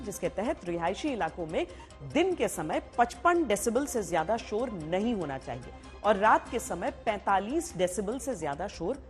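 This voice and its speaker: A woman reading scripted, explanatory news narration. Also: medium pitch. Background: faint music bed.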